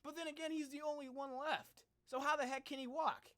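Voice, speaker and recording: A young man reacting on a live stream in casual, slangy chat, excitable and thinking out loud. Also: very high-pitched, a little fast, no echo. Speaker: streamer reacting to game videos.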